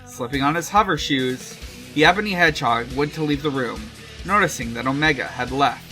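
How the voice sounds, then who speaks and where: A podcast host speaking casually and haltingly, in chat and reading fanfiction aloud. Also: medium pitch, pushed and bright, soft background music. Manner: hesitant, halting.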